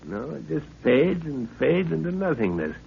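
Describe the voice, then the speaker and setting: A man's voice: on the high side, sing-song, normal speed, vocal fry, breathy edge, heavily acted. An actor playing a middle-aged explorer father, curious, authoritative and given to explaining, in a 1930s radio serial. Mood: marvelling. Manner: explanatory, exclaiming, commanding. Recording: dull 1930s radio recording.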